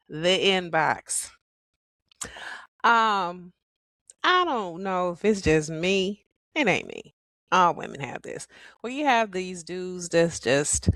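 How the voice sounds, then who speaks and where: A middle-aged woman hosts her podcast alone in casual, sassy talk. Her voice is hoarse from a cold, and she laughs often. The recording is dry and close, with no room sound. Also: lowish voice, a bit bright, dry recording. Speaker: podcast host.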